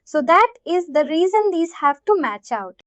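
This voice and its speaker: High-pitched Indian woman teaching, dull audio. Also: very animated, normal speed, dry recording. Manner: repetitive, checks that listeners follow. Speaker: software instructor. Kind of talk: informal live tutorial explanation.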